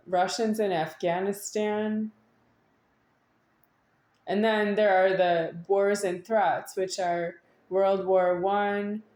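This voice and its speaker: A woman giving a rambling, unscripted monologue to an audience, halting at times with drawn-out words and insistent repetition. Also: medium pitch, a little mellow. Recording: echoey room.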